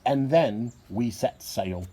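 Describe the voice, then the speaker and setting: A man reading a children's picture book aloud in an expressive storytelling style. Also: mid-range voice, slow, breathy edge, low-quality recording.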